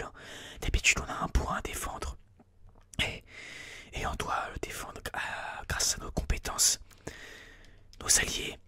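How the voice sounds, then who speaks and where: A person whispering informal, explanatory ASMR gameplay commentary in a breathy, unvoiced voice, a little hesitant, close on a binaural mic. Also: bright, forceful tone. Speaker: ASMR gaming video host. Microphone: close binaural microphone.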